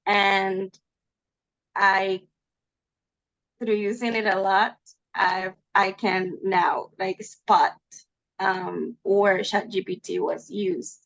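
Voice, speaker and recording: A woman's voice: mid-range voice, steady tone, drawn-out words, vocal fry, long pauses, a bit bright, dry recording. A woman, a native Spanish speaker with a Spanish accent, talking casually as a student in an online class. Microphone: video call mic. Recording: slightly dull video-call audio.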